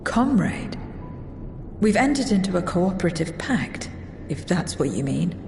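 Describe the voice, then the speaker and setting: An actress playing an aloof, blunt, solitary woman in a video game cutscene, with terse, deadpan delivery. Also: lowish voice, unhurried. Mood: detached, blunt. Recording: long echoing reverb with music underneath.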